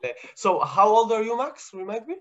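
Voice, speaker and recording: A man's voice: sits high, big pitch swings, fairly quick, a bit bright, lo-fi sound. A man with an Israeli Hebrew accent, a scientist explaining things to children in a casual, friendly way, laughing often and checking in with "right?" and "you know?". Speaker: scientist answering children's questions.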